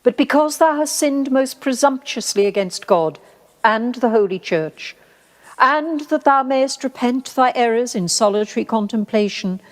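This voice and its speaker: Woman playing a stern, highish-voiced church judge. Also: animated. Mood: solemn judgment. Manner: grave, formal, measured. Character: stern male church judge, solemn and authoritative. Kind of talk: radio play acting, formal archaic speech. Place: actor's home, live remote recording.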